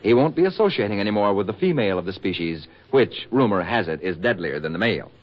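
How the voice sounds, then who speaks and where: An actor playing a brisk, sardonic magazine reporter with dry quips, in 1940s radio drama style. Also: male voice, fairly low pitch, animated, music in the background, natural voice. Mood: wry. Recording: muffled, noisy 1940s radio recording.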